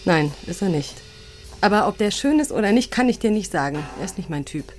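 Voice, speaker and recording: A woman's voice: mid-range voice, expressive, fairly quick, natural voice. Actress as hesitant woman, music behind. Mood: wistful. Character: hesitant, easily flustered woman, the sheriff's partner at home. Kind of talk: naturalistic radio drama dialogue.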